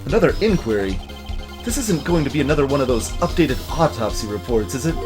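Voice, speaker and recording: A man's voice: medium pitch, sing-song, fast, lightly acted. A man voicing an earnest, combative young male defense lawyer who gets easily rattled, in a video game. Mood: anxious, worried. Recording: music playing behind the voice.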